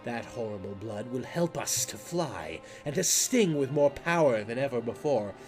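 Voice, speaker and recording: A man voicing a menacing, scheming mosquito queen from a rhyming storybook, speaking in a slow, drawn-out way over music. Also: medium pitch, expressive, close to an everyday voice. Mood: gloating, power-hungry. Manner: slow, drawn-out, plotting delivery. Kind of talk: storybook rhyme character voice.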